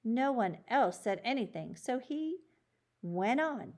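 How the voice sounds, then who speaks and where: A woman's voice: on the high side, lively intonation, takes it slowly, a little mellow. A woman reading a children's chapter book aloud as a storyteller.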